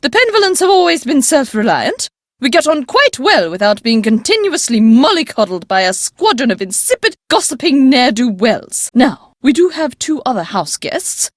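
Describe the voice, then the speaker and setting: Game role: haughty English household matriarch, high and very animated, prim and imperious, close dry recording. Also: female voice, no echo. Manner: prim, condescending, formal diction. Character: haughty, strict English aunt running a manor household. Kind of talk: scripted video game character dialogue.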